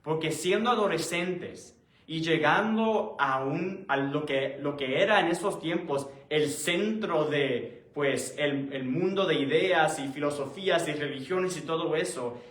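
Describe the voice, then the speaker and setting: Echoey, higher-voiced man teaching. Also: fairly bright tone. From born in Puerto Rico, raised in the United States. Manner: explanatory, halting, rephrases often. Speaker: Bible study teacher. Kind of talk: conversational sermon, Bible study teaching. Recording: roomy, echoing sound.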